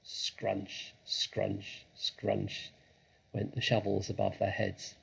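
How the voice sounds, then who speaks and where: A man reads a children's story aloud in a dramatic, expressive way. His voice is creaky and a little husky, and he draws phrases out for suspense. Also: lowish voice, drawn-out words, poor audio. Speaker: storybook reader.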